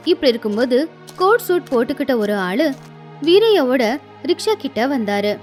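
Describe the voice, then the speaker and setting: A woman's voice: high voice, very animated, normal speed, a little mellow, soft background music. A woman reading scripted storybook narration as the narrator of a tale.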